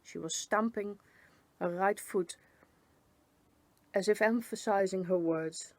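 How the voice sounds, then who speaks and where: Woman, storybook narrator, mid pitch, expressive reading aloud, first person, dry sound. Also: takes it slowly, dry recording. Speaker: story reader.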